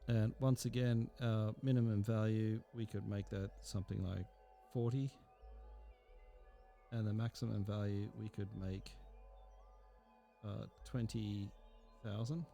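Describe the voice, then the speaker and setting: A man teaching a software tutorial, explaining step by step in an unhurried way and thinking aloud with fillers. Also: on the low side, flat delivery, vocal fry, soft background music. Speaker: instructor. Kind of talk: screen-recorded software tutorial.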